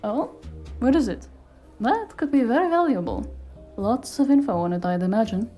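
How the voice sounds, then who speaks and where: Woman acting high-voiced, sardonic cyberpunk fixer. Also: lively intonation, normal speed, dull audio, faint music behind. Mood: wry, curious. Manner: sardonic, scolding, emphatic. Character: sharp, exasperated, street-savvy female cyberpunk fixer. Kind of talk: noir audio drama acting.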